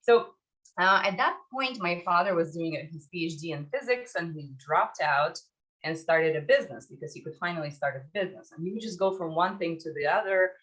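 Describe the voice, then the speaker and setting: Low-pitched woman from Ukraine, reflective. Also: lively intonation, a little fast, fairly bright tone, poor audio. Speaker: podcast guest, startup founder. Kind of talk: conversational interview storytelling.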